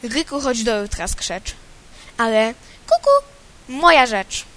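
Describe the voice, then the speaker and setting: A woman voicing an indignant female cuckoo who protests loudly. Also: on the high side, unhurried, slight background noise, natural voice. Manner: loud, protesting.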